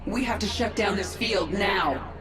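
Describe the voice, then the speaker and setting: A woman's voice: mid-range voice, unhurried, lightly acted. A woman playing a cold, vengeful alien queen in a video game, giving clipped, authoritative orders with resolute force. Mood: commanding. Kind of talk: video game cinematic acting. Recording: echoey, loud music behind.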